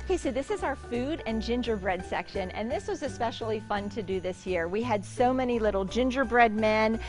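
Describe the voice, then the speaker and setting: A woman's voice: fairly high pitch, animated, a little fast, over music. A middle-aged woman, a shop owner, chatting enthusiastically as she gives a tour of her large indoor store, with frequent ums. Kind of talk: guided store tour interview.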